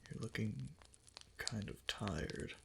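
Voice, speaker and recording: Man acting a gravelly, low-voiced, obsessive fox-spirit husband. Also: lively intonation, slow, noisy background, full character voice. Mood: tender, soothing. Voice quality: breathy, gravelly, often whispered. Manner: soft, hesitant, clingy pillow talk. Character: obsessively devoted yandere kitsune husband, tender and possessive. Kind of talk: intimate romantic ASMR roleplay. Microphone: close ASMR microphone.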